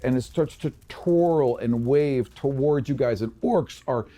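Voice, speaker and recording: A man narrating a tabletop game as game master, with vivid, drawn-out descriptive delivery. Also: medium pitch, mellow. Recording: noisy, with ambient sound behind.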